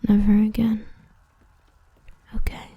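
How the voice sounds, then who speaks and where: A woman playing an obsessive, protective villain with destructive powers, whispering softly and possessively into a close mic in an ASMR roleplay. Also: medium pitch, completely flat delivery, slow, slightly rough, no room sound, phone-quality sound. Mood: soothing, possessive.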